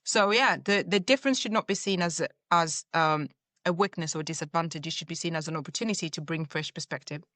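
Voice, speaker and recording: A woman from Zambia talks through her ideas as a podcast guest. Her voice sits fairly low, recorded close and dry, and she explains with homely everyday examples. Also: fairly quick, fairly bright tone, dry recording. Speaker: guest, leadership coach. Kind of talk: conversational interview answers.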